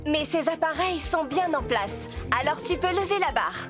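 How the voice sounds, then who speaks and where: A patient cartoon effects technician, explaining each step clearly in a high, light voice. Cartoon music plays behind her. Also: expressive, moderate pace. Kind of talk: French cartoon dubbing.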